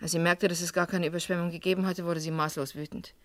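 An actress playing a defiant, evasive young student in a vintage radio crime drama, curt and defensive under questioning. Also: female voice, lowish voice, little pitch movement, fast, a bit bright, dry recording, natural voice. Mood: grave, confessing.

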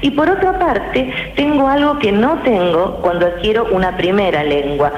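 A woman's voice: medium pitch, lively intonation. Academic woman in a roomy, muffled radio recording. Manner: measured, academic, many hesitations. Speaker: guest linguist. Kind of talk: explanatory radio interview.

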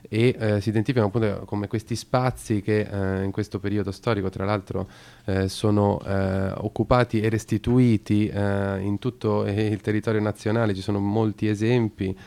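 A male radio co-host with a low, gravelly yet smooth voice. He explains things in long, halting sentences, stopping often to search for words, and his delivery stays flat and even. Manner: hesitant, lots of fillers and restarts. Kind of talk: radio talk explaining a topic.

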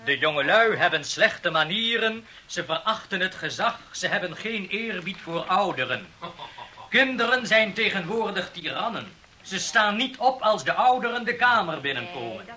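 A man's voice: high-pitched, animated, normal speed, pushed and bright, a little hiss or hum, heavily acted. An actor playing a disapproving older man who scolds the young in a declamatory 1960s Dutch radio play style. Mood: stern, reproachful. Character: disapproving older man defending old ways and manners. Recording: 1960s radio recording with piano music.

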